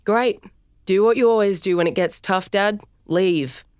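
A woman's voice: low-pitched, normal speed, a little mellow, dry recording, studio quality. A woman voicing Storm, an angry young man accusing his father, in heated, accusing tones raised above her narrating voice.

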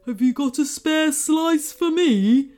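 A woman's voice: high-pitched, slow, a little mellow, soft background music, voice pushed up. A woman doing a grumbling, sleepy storybook dragon. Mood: hungry interest. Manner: grumbling, lazy, imposing. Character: huge sleepy grumbling dragon guard, fond of food. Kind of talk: children's storybook character voice.

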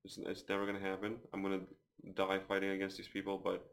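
A man's voice: sits low, completely flat delivery, quick pace, creaky, a bit bright. A man hosting a casual podcast, talking in a loose, rambling way with crude jokes. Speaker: podcast host.

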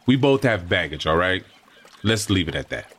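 A man's voice: low voice, animated, normal speed, fairly bright tone, slight background noise. A man playing a grieving father and brother with clipped, emotional delivery in an audio drama. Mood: subdued, regretful. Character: grieving father, mild-mannered brother turning angry.

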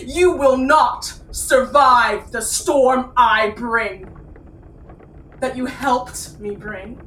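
Stage monologue role: righteous, defiant wronged woman, incantatory, very slow delivery, recorded in a roomy space. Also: a little high, sharp tone, heavily acted. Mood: triumphant, prophetic. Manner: declamatory, deliberate, incantatory. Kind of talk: theatrical poetic monologue. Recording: roomy, distant microphone, faint noise.

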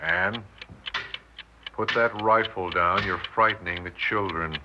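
Protective father, low voice, earnest, halting, pleading, 1960s radio drama, noisy old recording. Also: steady tone, lo-fi sound. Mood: urgent pleading. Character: weary, reasoning father in a fallout shelter, humane, protective.

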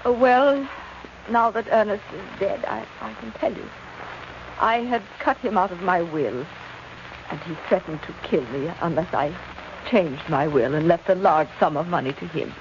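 A woman's voice: on the low side, normal speed, close to an everyday voice. An actress playing a genteel, scheming wealthy woman with a hesitant, flustered manner in 1940s radio drama style. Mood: confessing. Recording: muffled, faintly noisy old radio recording.

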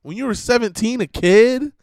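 A slightly hoarse man giving an emphatic, preachy monologue with swearing and lots of repetition. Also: extremely high pitch, normal speed, darkish tone, no room sound, studio quality. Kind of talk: casual monologue rant.

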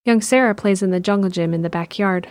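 A woman's voice: medium pitch, quick pace, a little mellow, a little hiss or hum. A woman reading scripted narration for an audio drama. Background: faint music.

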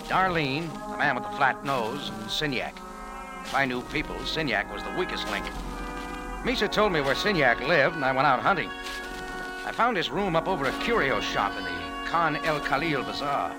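A man's voice: medium pitch, sing-song, normal speed, natural voice, low-quality recording. An American man acting a tough, wry bar owner turned amateur sleuth in clipped 1940s radio drama style. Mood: grim, wry. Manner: wry, clipped, hard-boiled. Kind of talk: 1940s radio drama acting, hard-boiled first-person narration. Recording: old 1940s radio recording with music.

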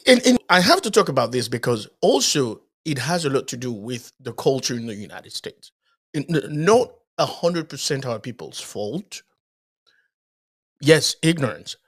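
A man's voice: medium pitch, very animated, moderate pace, a bit bright. A man from the Dominican Republic co-hosting a podcast, chatting casually and often repeating words as he starts a thought. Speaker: podcast co-host. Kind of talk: casual conversation.

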